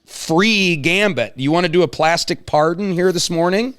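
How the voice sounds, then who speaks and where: A man's voice: high voice, normal speed, fairly bright tone, clean tone. A man in his forties hosting a casual interview, talking informally with slang and rambling asides. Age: 40s. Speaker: host.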